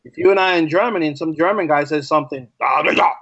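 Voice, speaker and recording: A man's voice: on the high side, quick pace, fairly bright tone, slightly rough, dry recording, lo-fi sound. A man from the American East Coast, a teacher talking as a podcast guest, explaining his ideas conversationally and often checking in with rhetorical questions. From United States, East Coast. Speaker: podcast guest, English as a second language teacher. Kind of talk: casual interview conversation.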